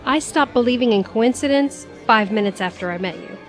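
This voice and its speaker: An actress playing a sceptical, outspoken young travelling companion in an audio drama, with a blunt manner. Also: female voice, fairly high pitch, fairly quick, faint music behind, some chatter behind, close to an everyday voice. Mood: dry, sceptical.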